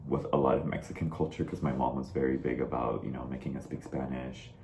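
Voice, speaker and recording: Young male co-host from Texas, very low voice, loose chatty delivery, roomy call audio with faint noise. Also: fairly even intonation, quick pace, creaky, darkish tone. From Dallas, Texas. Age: young adult. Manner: chatty, lots of "like" and "I mean". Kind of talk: casual chat. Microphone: video call.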